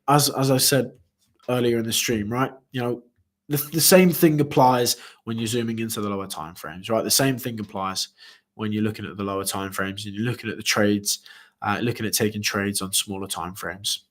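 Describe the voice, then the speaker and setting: A man's voice: lowish voice, moderate pace. A young man hosting a live trading stream, talking casually to viewers with many fillers and restarts. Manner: speaks to chat viewers directly. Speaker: crypto trading livestream host.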